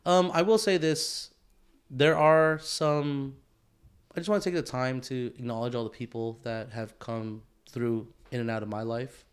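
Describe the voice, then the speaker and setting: A man's voice: mid-range voice, steady tone, measured pace, clean tone. A man co-hosting a podcast in casual chat, reflective and rambling with lots of restarts. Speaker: podcast co-host.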